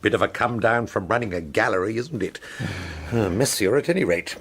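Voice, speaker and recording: A man's voice: on the low side, lively intonation, brisk, a bit bright, a little hiss or hum. An actor playing a rueful, sardonic, boozy painter who pines for his wife, in a radio drama. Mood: wry. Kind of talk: radio drama acting with first-person narration.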